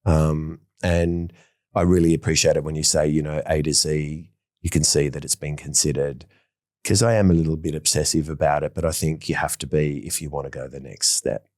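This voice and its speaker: Very deep-voiced man, rambling. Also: steady tone, a little fast, a little mellow, dry recording, studio quality. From Australia. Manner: enthusiastic, rambling, many fillers and restarts. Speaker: fashion designer, interview guest. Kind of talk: casual interview conversation.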